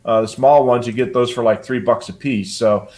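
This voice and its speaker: Casting: older man, medium pitch, hosting an informal hobby livestream, talking in a meandering, practical way. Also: steady tone, moderate pace, darkish tone. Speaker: live show host. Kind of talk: casual live hobby talk.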